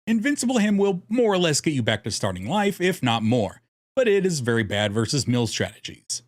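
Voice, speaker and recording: A man's voice: fairly high pitch, very animated, a little fast, no echo, clean studio sound. A man narrating a scripted strategy guide in a jokey, irreverent way, casually swearing as host. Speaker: host of a card game deck guide.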